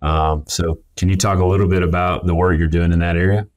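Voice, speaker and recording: Male podcast co-host, American accent, very low register, casual conversational interviewing from an office. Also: flat delivery, a little fast, a little mellow, dull audio. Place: office, video call.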